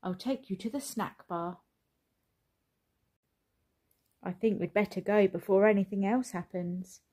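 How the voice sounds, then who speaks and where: A woman's voice: medium pitch, moderate pace, dark tone, close to an everyday voice. A woman voicing a polite, hesitant, rather nervous married man in a children's story. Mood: hurried. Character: hesitant, fussy, courteous middle-class husband. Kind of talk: children's storybook read aloud.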